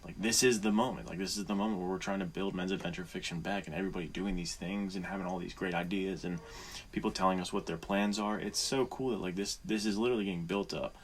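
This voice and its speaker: Low-voiced man, casual podcast co-host. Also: fairly even intonation, quick pace. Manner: earnest, appreciative, buddy-like informality. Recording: faint background noise.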